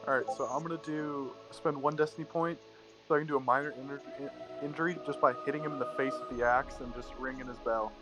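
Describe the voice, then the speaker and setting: A man's voice: medium pitch, steady tone, quick pace, music in the background, rough recording. A man talking casually as a player in a tabletop roleplaying game.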